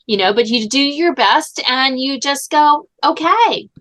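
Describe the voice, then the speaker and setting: A woman's voice: sits high, measured pace. Chatty, informal woman podcast host. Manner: animated, informal, peppers talk with "like" and "you know". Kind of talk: casual podcast conversation.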